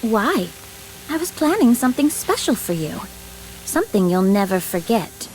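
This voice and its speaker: A woman's voice: a little high, sing-song, moderate pace, a little mellow, slight background noise, poor audio. A woman voice-acting a refined, snooty young noblewoman in a video game, genteel and icily polite. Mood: icily sweet. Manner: haughty, genteel, cool.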